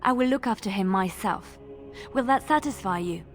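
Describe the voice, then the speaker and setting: An actress playing a compassionate, persuasive travelling companion in a video game, gentle and earnest. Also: female voice, medium pitch, lively intonation, moderate pace, soft background music, natural voice. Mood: gently insistent.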